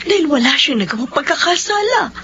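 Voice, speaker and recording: A woman playing a gentle, coaxing aunt. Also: sits high, lively intonation, a little fast, full character voice. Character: middle-aged aunt, gentle and persuasive. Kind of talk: radio drama acting. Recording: dull lo-fi with faint noise.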